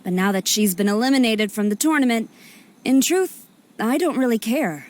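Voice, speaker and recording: An actress playing a stern, formal female general in a game cutscene, speaking in a clipped, measured way. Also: a little high, expressive, lightly acted.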